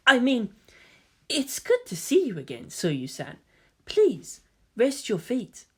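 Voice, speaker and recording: Gentle, secretive teen boy, played by a woman: effeminate, courteous, quiet and earnest, lilting delivery for dramatic fan fiction. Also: a little high, wildly swooping pitch, slow pace, husky, voice pushed up, heavily acted. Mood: polite. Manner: soft-spoken, polite, earnest.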